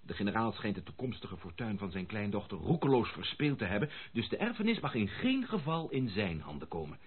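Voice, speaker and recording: An actor playing an excitable, effusive young gentleman heir in a radio drama, exclaiming and stammering when agitated. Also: lowish voice, brisk, slightly rough. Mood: agitated, troubled. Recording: muffled lo-fi radio play recording.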